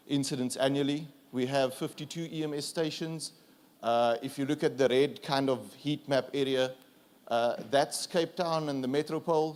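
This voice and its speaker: A South African man whose first language is Afrikaans, giving a relaxed, self-deprecating conference presentation with fillers and restarts. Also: mid-range voice. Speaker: conference speaker.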